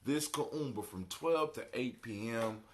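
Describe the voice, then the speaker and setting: A middle-aged man hosts a casual live show in a folksy, conversational manner. His pitch sits in the ordinary middle range for a man. He repeats phrases for emphasis and often checks in with his listeners. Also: animated, unhurried. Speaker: podcast host. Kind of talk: casual live internet radio talk.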